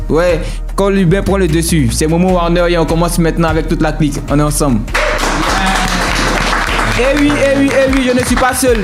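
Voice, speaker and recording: An energetic male radio host. His voice sits a little high and he keeps calling out to his audience. Soft music plays under the talk. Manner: shouty hype calls to the audience. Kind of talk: lively hype radio hosting.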